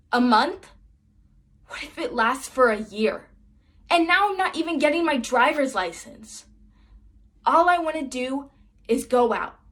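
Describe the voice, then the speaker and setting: A young woman playing a restless, exasperated teenage girl stuck at home in a dramatic monologue. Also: fairly high pitch. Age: teenager or young adult. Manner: weary, exasperated. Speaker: student actor.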